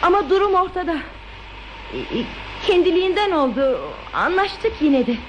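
A female actor playing a lonely, anxious, gentle woman in a radio play, speaking softly and hesitantly with earnest theatrical delivery. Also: extremely high pitch, very animated, normal speed, highs cut off, noisy background. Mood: tender, reflective.

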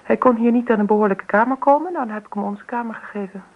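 A woman's voice: fairly high pitch, fast talker, narrow, tinny audio. A woman acting a composed, assertive wife and mother in a Dutch radio drama, speaking firmly and directly in a matter-of-fact way. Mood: cool, firm.

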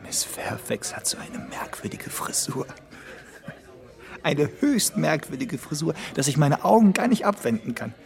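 An actor playing a chattering, mischievous young gentleman at a party in a radio play. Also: on the high side, sing-song, measured pace, creaky, crowd noise, heavily acted.

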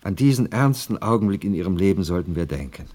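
An anxious widower in a radio play. His voice is deep and husky. He speaks with solemn earnestness, pleading and insisting as someone devoted to reaching his dead wife. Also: expressive, quick pace, a little mellow. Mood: insistent. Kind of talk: German radio play acting.

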